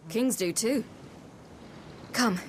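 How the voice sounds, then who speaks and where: A brave young woman warrior from a fantasy video game. Her voice sits a little high and she talks with calm, kind reassurance. There is faint ambient noise behind her. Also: drawn-out words. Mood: calm, gentle. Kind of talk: cinematic fantasy game acting.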